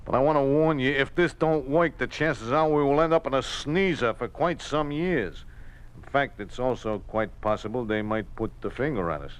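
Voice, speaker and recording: Male actor as loyal underdog racetrack bookmaker, slangy and oddly formal, mid pitch, vintage radio play. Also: normal speed, clean tone, natural voice. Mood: determined, wry. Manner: colorful Runyonesque slang, formal present tense. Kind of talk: 1950s radio drama acting. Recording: vintage 1950s radio recording.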